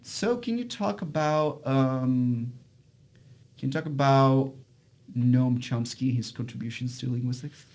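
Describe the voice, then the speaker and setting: A man's voice: mid-range voice, unhurried, a little mellow. A man whose first language is Portuguese, hosting a casual interview and often repeating phrases as he works out his questions. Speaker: podcast host.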